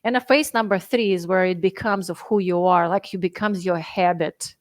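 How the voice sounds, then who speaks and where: A woman's voice: on the low side, moderate pace, no room sound, studio quality. A woman from Russia in her thirties, speaking English as a second language with a Russian accent, earnestly explaining her coaching steps in a podcast interview. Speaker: health and fitness coach guest. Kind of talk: conversational interview.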